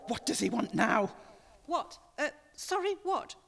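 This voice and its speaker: A woman's voice: on the high side, big pitch swings, measured pace, vocal fry, crowd noise, full character voice. A woman playing a grieving, resentful mother sliding into madness, with brooding bitterness, in a horror audio drama. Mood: irritated. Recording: long reverb, faint noise.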